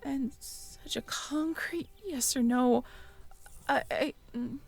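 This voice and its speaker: A young woman in an intimate audio roleplay, talking softly to the listener, hesitant and stammering. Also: high voice, takes it slowly, creaky. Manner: hesitant, stammering, soft-spoken. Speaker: audio drama performer speaking to the listener.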